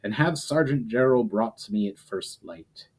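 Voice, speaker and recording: A man's voice: lowish voice, animated, normal speed. Man voicing an aging, commanding army general. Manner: authoritative, giving orders. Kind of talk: dramatic audiobook character reading.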